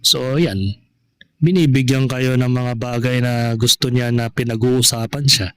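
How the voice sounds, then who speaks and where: Slow-talking man giving casual commentary. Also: medium pitch, level tone, a bit bright, clear, resonant tone, dry recording. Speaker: vlogger commenting on online gossip.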